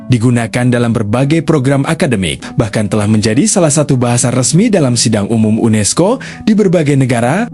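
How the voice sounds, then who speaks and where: A man reading a scripted documentary narration in a measured, explanatory way. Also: mid-range voice, darkish tone.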